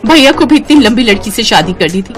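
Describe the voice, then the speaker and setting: A woman's voice: on the high side, expressive, brisk, soft background music, some chatter behind, natural voice. A woman voicing a sulky, mocking young sister-in-law in a family storybook tale. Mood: sulky, resentful. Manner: complaining, mocking.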